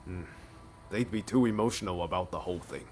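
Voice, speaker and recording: An actor playing an easygoing, principled young samurai in a video game cutscene, speaking in a casual, laid-back way. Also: male voice, low-pitched, brisk, a little hiss or hum, lightly acted.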